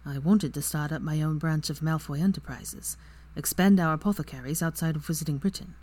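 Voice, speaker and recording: A woman voicing a tender, brooding young wizard in a low, quiet, hushed voice. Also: fairly even intonation, brisk. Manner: quiet, hushed, near whisper.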